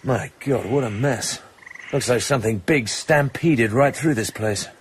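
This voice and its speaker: An actor playing a tough British secret agent in a radio drama, speaking in a clipped, commanding, understated way. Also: male voice, mid-range voice, measured pace, a little hiss or hum, close to an everyday voice. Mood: wry, observant.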